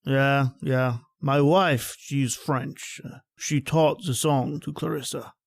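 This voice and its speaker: An actor playing a secretive old man with a German accent, halting and wistful, in an old-time radio horror drama. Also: mid-range voice, steady tone, creaky, no echo, studio quality. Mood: guarded, cordial. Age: older. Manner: halting, wistful, punctuated by little questioning tags. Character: secretive, hospitable old European father living alone.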